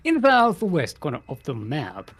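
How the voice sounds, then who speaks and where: A man casts a strategy game tournament in a casual, rambling style. His pitch sits a little high and swings widely. He sounds playful and chatty, like he is bantering with a co-caster. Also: huge pitch swings, normal speed, a bit bright, slightly rough. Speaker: esports tournament commentator.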